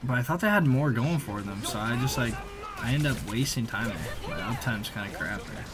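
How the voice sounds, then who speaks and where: A man giving casual, slangy voice-over commentary on gameplay, conversational with animated reactions. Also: mid-range voice, brisk, music in the background. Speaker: gaming video creator.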